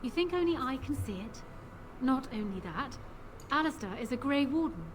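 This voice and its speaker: A woman's voice: high voice, animated, normal speed. A woman playing a proud, calculating young queen in a fantasy video game, speaking formally and with assertive composure. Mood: proud, commanding. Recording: game audio with clear background noise.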